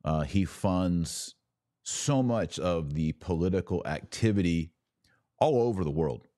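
A man hosting a podcast, giving conversational news commentary in a deliberate, emphatic way with drawn-out pauses. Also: sits low, animated, warm tone, dry recording, clean studio sound.

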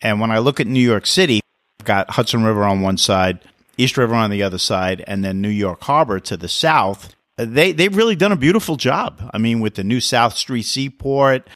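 A man's voice: lowish voice, animated, normal speed, fairly bright tone, dry recording, studio quality. A man hosting a real estate podcast interview, talking in an upbeat, enthusiastic way. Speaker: podcast host.

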